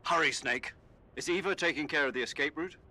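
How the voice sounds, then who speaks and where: An actor playing an authoritative middle-aged military commander in a video game, formal and grave. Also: male voice, a little high, a little fast, sharp tone. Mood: urgent, concerned. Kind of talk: video game cutscene acting.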